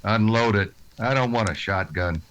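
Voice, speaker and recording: Western marshal for radio drama, male actor: lowish pitch, curt deadpan lines, steady weary authority, audible noise. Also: normal speed, highs cut off, close to an everyday voice. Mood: stoic, curt. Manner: terse, deadpan. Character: stoic, weary, plain-spoken western lawman. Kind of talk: old-time radio western acting.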